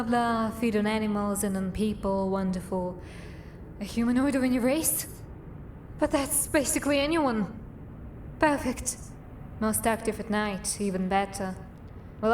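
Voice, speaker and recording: Woman acting as female drow assassin, highish voice, dry sardonic manner, guarded, fantasy game, faint noise behind. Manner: dry, sardonic, muttering to herself. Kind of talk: acted fantasy game dialogue and monologue.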